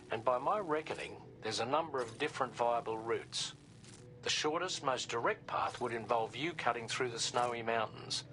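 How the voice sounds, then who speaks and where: A man plays a seasoned adviser in a video game cutscene. He lays out routes in a steady, advising, colloquial way, at a middling pitch. Game music plays under him. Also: lightly acted. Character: seasoned man guiding a courier, practical. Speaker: mission supporter.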